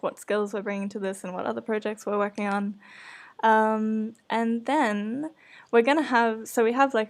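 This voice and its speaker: A woman co-hosting a live podcast event, chatting casually and informally, often with a giggle. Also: fairly high pitch. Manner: informal, bubbly with frequent laughter. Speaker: podcast writer and co-host.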